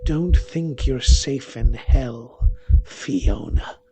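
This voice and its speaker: A man's voice: medium pitch, drawn-out words, dark tone, a little hiss or hum. A man voicing a commanding, vengeful witch queen, an old crone, in a horror tale. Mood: cold vengeance.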